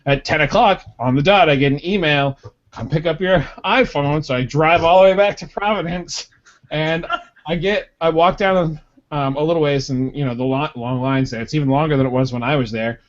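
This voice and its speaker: A man's voice: fairly high pitch, moderate pace. A man co-hosting a casual podcast, telling a rambling story and acting out the quotes. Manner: rambling anecdote with acted quotes. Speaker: podcast co-host. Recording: dull lo-fi podcast audio.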